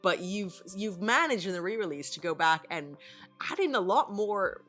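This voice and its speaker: A woman's voice: mid-range voice, lively intonation, moderate pace, fairly bright tone. A woman co-hosting a casual discussion in a reflective, wry, conversational way. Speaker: co-host, voice actor. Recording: music playing underneath.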